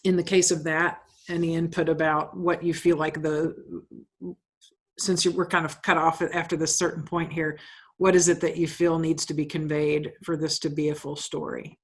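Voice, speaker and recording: A woman's voice: deep voice, flat delivery, normal speed. A woman playwright explaining her project informally, often rephrasing as she asks for feedback.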